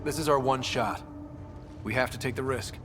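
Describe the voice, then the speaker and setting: A man's voice: medium pitch, normal speed, over music, natural voice. An actor playing an earnest, plain-spoken male leader of a space expedition in a video game. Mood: serious, wary. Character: earnest young male space explorer leading a mission.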